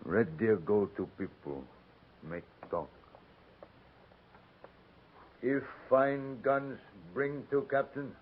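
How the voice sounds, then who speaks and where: Guy doing a proud guarded native chief, slow broken english, old muffled radio western sound. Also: medium pitch, slight background noise, lightly acted. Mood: stoic. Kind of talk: 1950s radio western acting. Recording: muffled 1950s radio recording.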